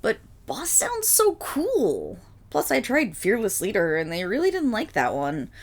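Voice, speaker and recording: A woman voicing an excitable, affectionate young dog girl in a roleplay audio drama, with a girlish voice and playful, eager rambling. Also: a little slow. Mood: playful, insistent. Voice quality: high, girlish.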